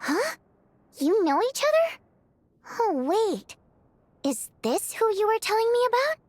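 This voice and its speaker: An actress playing an excitable, outspoken young woman with a dramatic, chatty delivery in a video game. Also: very high-pitched, unhurried, heavily acted. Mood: teasing, surprised. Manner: expressive, exclamatory, chatty.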